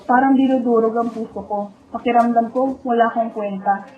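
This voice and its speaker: A woman's voice: a little high, steady tone, brisk, lightly acted. A woman playing a sensitive, lonely young female student who narrates her own story in an emotional radio drama, earnest and dramatic. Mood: sorrowful. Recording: muffled phone-like sound with music underneath.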